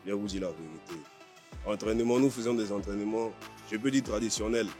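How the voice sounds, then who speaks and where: A man's voice: on the low side, brisk, a little mellow, faint music behind. Confident Ivorian man, MMA fighter. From Ivory Coast. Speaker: MMA fighter being interviewed. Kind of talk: informal on-camera interview.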